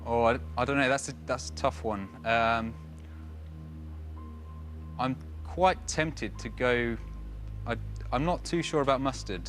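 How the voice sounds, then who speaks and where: A twenty-year-old man, a contestant on a quiz show, hesitantly reasoning his way through a question out loud. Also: mid-range voice, a little slow, pauses often, faint music behind. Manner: hesitant, reasoning aloud with fillers. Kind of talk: thinking aloud on a quiz show.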